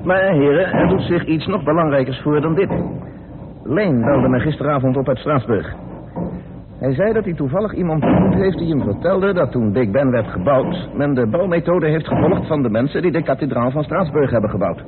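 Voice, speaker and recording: An actor playing a brisk, methodical chairman of amateur sleuths in a radio drama, polite but insistent as he explains. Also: on the high side, expressive, lightly acted. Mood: reasoning, insistent. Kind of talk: Dutch radio drama acting. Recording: noisy, tinny old radio recording.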